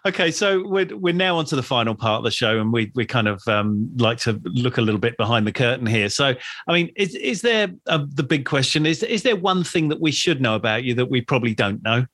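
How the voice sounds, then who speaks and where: Man hosting, medium pitch, dry sound. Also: animated, a little fast, hardly any pauses, a bit bright, dry recording. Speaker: show host, interviewer. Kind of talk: casual interview.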